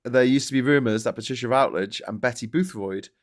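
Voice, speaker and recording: A man's voice: medium pitch, brisk. A man from Birkenhead with a Merseyside accent, hosting a casual news chat podcast, chatty with frequent hesitant fillers. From Birkenhead, England. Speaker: podcast host.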